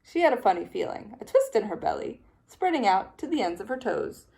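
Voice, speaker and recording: A woman teacher reading a children's picture book aloud with expressive, playful storytelling. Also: mid-range voice, a little fast, creaky, low-quality recording.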